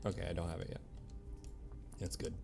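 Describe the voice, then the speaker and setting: Guy streaming a game, low voice w lots of fry, casual muttered commentary. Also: level tone, brisk, over music. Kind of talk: casual live gameplay commentary.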